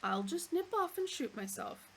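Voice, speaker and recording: A woman's voice: extremely high pitch, sing-song, normal speed, a little hiss or hum, voice pushed up, full character voice. Woman voicing a courteous talking beast of a dish. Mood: eager courteous. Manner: courteous waiter-like salesmanship, contented. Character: polite, eager male cow-like beast offering itself as food.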